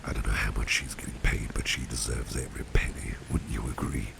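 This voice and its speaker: A man playing a kindly, genteel boss in an ASMR roleplay, speaking in an unhurried, breathy half-whisper with a gravelly depth. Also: a bass voice, steady tone, background noise, exaggerated voice. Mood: pleased, praising. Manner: genteel, unhurried, repeats phrases for emphasis. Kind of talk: ASMR roleplay, soft-spoken personal attention.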